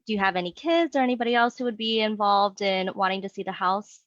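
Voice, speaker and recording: A woman's voice: medium pitch, quick pace, a bit bright, no echo. A young woman interviewing for a sales job, playing a real estate agent in an upbeat, friendly way with many okays. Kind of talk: mock sales interview role play. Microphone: video call. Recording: dull lo-fi sound, dry.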